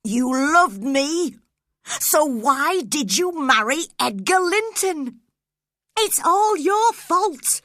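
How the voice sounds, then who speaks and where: A woman's voice: lowish voice, takes it slowly, dry recording, studio quality, heavily acted. A woman voicing a fierce, grieving young lover. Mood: anguished. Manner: wild, pleading, then hushed.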